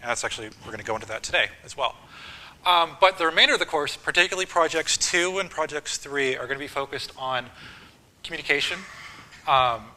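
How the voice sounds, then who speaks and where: A man teaching a university course, talking casually with frequent ums and quick lists. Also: a little high, animated, pushed and bright, low-quality recording. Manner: casual, list-making, filler ums. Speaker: course instructor. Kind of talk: university lecture.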